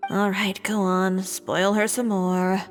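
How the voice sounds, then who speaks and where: A woman's voice: medium pitch, flat delivery, takes it slowly, music in the background, natural voice. An actress playing a wry, skeptical woman in a game, speaking with dry teasing.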